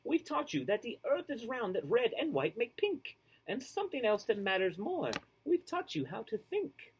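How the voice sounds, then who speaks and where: A man's voice: very high-pitched, huge pitch swings, moderate pace, breathy edge, pitched above the performer's own voice, full character voice, poor audio. A man voicing an optimistic, exuberant female schoolteacher from a storybook, eager and singsong. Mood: reassuring.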